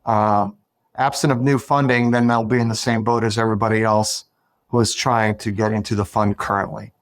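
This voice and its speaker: A man appearing as an interview guest, explaining things in a measured way with hesitant fillers. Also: lowish voice, little pitch movement, dry recording, clean studio sound. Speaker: guest, foundation executive. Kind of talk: podcast interview.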